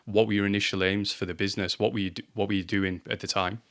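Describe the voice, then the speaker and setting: A man co-hosts a casual podcast in a low, smooth voice. He keeps his pitch flat and even. He speaks with a dry, self-deprecating edge and the odd "um". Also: quick pace. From Lancashire, England. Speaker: podcast co-host, company CMO.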